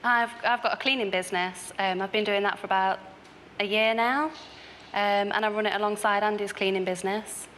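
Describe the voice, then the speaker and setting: Female contestant, casual delivery, mid pitch, studio hall reverb, faint noise. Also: steady tone, fairly quick, fairly bright tone. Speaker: quiz contestant. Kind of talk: casual interview answers.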